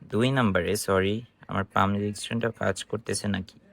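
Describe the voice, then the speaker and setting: A Bangladeshi-accented man speaks as a teacher in an informal online lesson. His voice sits fairly low and is recorded very dry, with no room sound. He explains step by step, often posing a question and answering it himself. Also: steady tone, normal speed, creaky, a bit bright, dry recording. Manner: explanatory, instructive, asking and answering own questions. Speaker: English grammar teacher.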